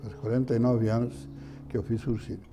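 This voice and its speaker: An older man answering interview questions in a halting way, often repeating his words and chuckling. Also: mid-range voice, flat delivery, over music. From Brazil. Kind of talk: reminiscing interview talk. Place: indoor room beside an altar.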